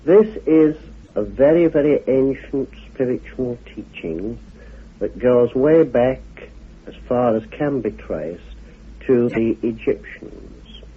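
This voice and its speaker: A middle-aged man, giving a measured, formal explanatory talk in deliberate phrases. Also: mid-range voice, husky. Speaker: occult teacher, order founder. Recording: muffled phone-like old radio recording.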